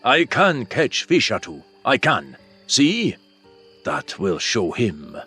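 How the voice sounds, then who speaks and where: A man's voice: medium pitch, huge pitch swings, slow, bright, forceful tone, husky, heavily acted. A man voicing a sulky, defensive young tribesman, the bullied younger brother in a fantasy audiobook. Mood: defiant. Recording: music behind the voice.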